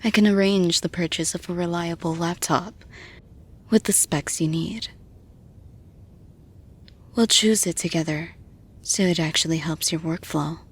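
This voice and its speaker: A woman playing a composed, supportive female boss in an intimate roleplay audio, speaking to the listener in a measured, reassuring, soft manner. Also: on the low side, breathy edge. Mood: calm, reassuring.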